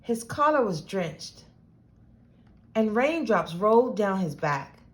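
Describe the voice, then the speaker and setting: A woman reading a picture book aloud to children as host, with deliberate, dramatic phrasing. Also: mid-range voice, very animated.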